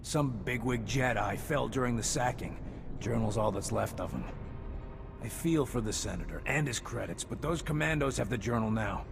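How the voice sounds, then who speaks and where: An actor playing a world-weary, cynical mercenary leader in a video game, gravelly voiced, blunt and sardonic. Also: male voice, mid-range voice, moderate pace, over music, close to an everyday voice. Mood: bitter, resigned. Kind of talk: scripted video game dialogue. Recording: clear ambient vehicle noise. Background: ambient noise.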